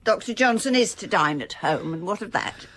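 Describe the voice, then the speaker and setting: A woman's voice: medium pitch, animated, moderate pace, slight background noise, close to an everyday voice. An actress playing a haughty, grudging lady with a curt, unimpressed manner in a period radio drama.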